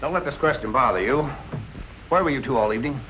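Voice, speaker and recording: An actor playing a dry, businesslike police captain questioning suspects in 1940s film noir style. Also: male voice, mid-range voice, animated, fast talker, lo-fi sound, natural voice. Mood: dry, probing. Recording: noisy old film soundtrack.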